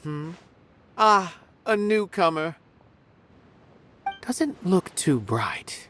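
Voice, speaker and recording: A woman's voice: on the low side, sing-song, drawn-out words, background noise, exaggerated voice. A woman voicing an aloof, condescending art philosopher in a video game, haughty, musing and theatrical. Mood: haughty, appraising. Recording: music mixed behind voice. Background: clear game music.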